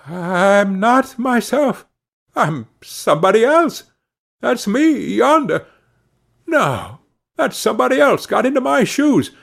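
A man's voice: high-pitched, very animated, slow, gravelly, hardly any pauses, slightly rough, pitched above the performer's own voice, full character voice. A man voicing a humble, bewildered old man in a storybook, hesitant and plaintive. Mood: despairing, bewildered.

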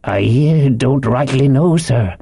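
Man doing a sheepish, gravelly old sailor. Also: mid-range voice, expressive, takes it slowly, dark tone, no room sound, clean studio sound, heavily acted. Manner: hesitant, deferential. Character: sheepish, slow-witted old grey-haired sailor. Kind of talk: audiobook character voice.

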